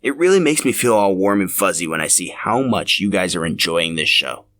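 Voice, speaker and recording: Low-voiced man hosting a podcast. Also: expressive, fairly quick, bright, forceful tone. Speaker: podcast host. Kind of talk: scripted podcast narration.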